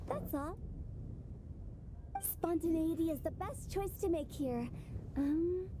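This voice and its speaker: A woman's voice: sits high, background noise, close to an everyday voice. A woman playing a playful, spirited teenage girl who hosts with breezy, theatrical ceremony in a video game. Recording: loud music behind the voice. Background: music.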